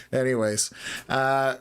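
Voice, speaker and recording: A laid-back man hosting a weather livestream, talking to his viewers in an unhurried way. His pitch sits in a typical middle range for a man. He uses plenty of filler words as he thinks out loud. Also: clear, resonant tone. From Canada. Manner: unhurried, plain-spoken, many filler words. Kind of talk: casual livestream chat answering viewer questions.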